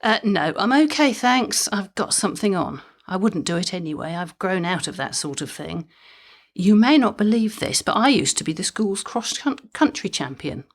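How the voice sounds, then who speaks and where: A woman's voice: medium pitch, animated, moderate pace. A woman playing a chatty, wry mother with a mischievous streak in an audio drama comedy, speaking conversationally with dry timing.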